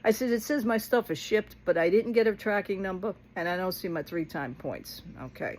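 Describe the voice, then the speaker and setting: A woman's voice: medium pitch, brisk, a little mellow, poor audio. A woman talking casually to her followers in a rambling vlog rant, blunt, exasperated and colloquial, retelling conversations as she goes.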